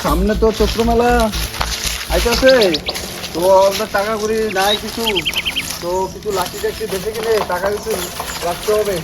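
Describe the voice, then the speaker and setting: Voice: mid-range voice, fairly even intonation, moderate pace, pauses often, heavy background noise, lightly acted. A performer voicing a village uncle in a cartoon, speaking colloquial in an instructing way. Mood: instructing, friendly. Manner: colloquial, instructing, repeats short check-in questions. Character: village uncle, caring elder who leads children to gather sticks. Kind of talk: cartoon voice acting. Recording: loud music under the voice.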